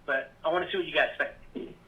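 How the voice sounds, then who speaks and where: A man's voice: a little high, steady tone, brisk, muffled recording. A man leaving a casual, rambling voicemail as a sports fan caller, somewhat tentative. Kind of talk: casual spoken voicemail opinion. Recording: faint background noise on voicemail.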